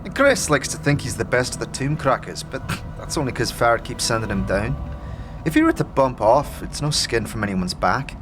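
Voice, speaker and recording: A man's voice: mid-range voice, lively intonation, moderate pace, music in the background, natural voice. A man playing a wary, streetwise tiefling woman who talks in defensive slang in a fantasy audio drama. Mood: matter-of-fact. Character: wary young tiefling woman, streetwise tomb scavenger with a tail.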